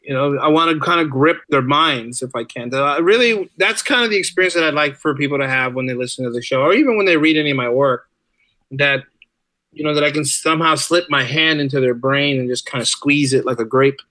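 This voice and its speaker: A man speaking casually as a podcast guest, telling stories in an animated, rambling way. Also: on the high side, a little fast, no echo. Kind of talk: casual interview chat.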